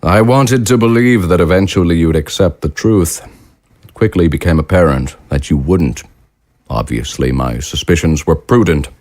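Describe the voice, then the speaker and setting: Man playing a very deep, cold, calculating leader. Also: no echo, studio quality, close to an everyday voice. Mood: cool, formal. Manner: measured, formal, quietly menacing. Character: cold, calculating male leader of a wartime nation. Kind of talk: audio drama acting.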